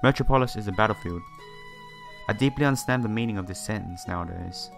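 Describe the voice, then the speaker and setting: A man reading visual novel text aloud as a let's player, adding his own asides in halting phrasing. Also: on the low side, vocal fry. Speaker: video game let's player. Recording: music behind the voice. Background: game music.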